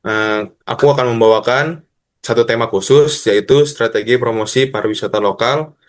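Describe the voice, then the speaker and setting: Male podcast host, medium-pitched voice, informal and familiar interview delivery. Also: normal speed.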